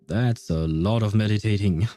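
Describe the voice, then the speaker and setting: A man's voice: lowish voice, normal speed, dark tone, faint music behind. A man livestreaming a game, chatting casually in a hesitant, rambling way with chuckles and casual swearing.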